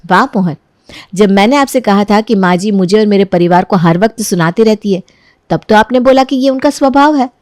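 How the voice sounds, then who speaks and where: A young daughter-in-law, voiced by a woman storyteller, speaks with restraint and resolve. Her mid-pitched voice is polite yet defiant, recorded dry and close for a cartoon family tale. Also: darkish tone, no echo, natural voice. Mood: indignant, resolute. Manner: firm, measured, polite but defiant.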